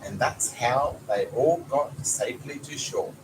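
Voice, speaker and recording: A man's voice: mid-range voice, unhurried. Man reading a storybook, noisy background. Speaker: father reading aloud to his child. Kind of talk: storybook read-aloud with short asides. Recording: noisy home recording with background sounds. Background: clear ambient noise, young child nearby.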